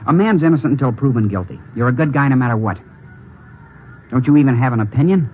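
An American actor playing an edgy, defensive young jockey, earnest and needling, in an old-time radio drama. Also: male voice, mid-range voice, animated, quick pace, lightly acted. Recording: muffled, faintly noisy old radio recording.

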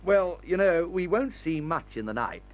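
A worrying, fussy young airman in an old radio serial. His voice is high and leaps about excitably. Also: normal speed, lo-fi sound. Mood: worried. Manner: worried, hesitant, excitable. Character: anxious, fussy, well-bred young airman, easily alarmed. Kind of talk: old-time radio adventure serial acting.

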